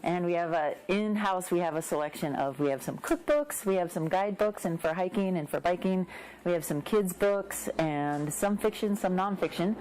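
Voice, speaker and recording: Low-voiced woman interview guest. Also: animated, normal speed, a little mellow, a little hiss or hum, rough recording. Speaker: bike shop representative, interview guest. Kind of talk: conversational interview answers.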